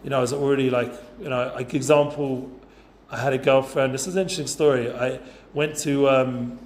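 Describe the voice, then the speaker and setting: A man's voice: mid-range voice, fairly even intonation, brisk, slight background noise. A man, talking casually to a youth group with lots of fillers and asides. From North London, England. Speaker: guest speaker for students. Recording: roomy echoey room.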